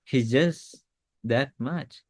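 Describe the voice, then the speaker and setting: A man's voice: medium pitch, very slow. A man in casual podcast conversation, explaining things as a creator, slipping English phrases into his speech. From Indonesia. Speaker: animation creator answering questions on a podcast.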